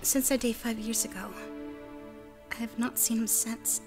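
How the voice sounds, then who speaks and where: Woman playing a sad, higher-voiced American woman. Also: level tone, measured pace, over loud music. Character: sad, sympathetic young American woman missing her fiancé. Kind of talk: amateur radio play acting.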